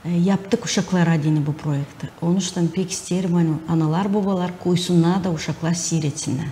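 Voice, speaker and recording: A middle-aged woman with a Gagauz accent answers as an interview guest. Her voice is fairly low and dark, her manner earnest and appealing, with faint noise behind. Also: fairly even intonation, brisk. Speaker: interview guest, animation studio head. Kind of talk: studio interview. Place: TV studio. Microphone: lapel.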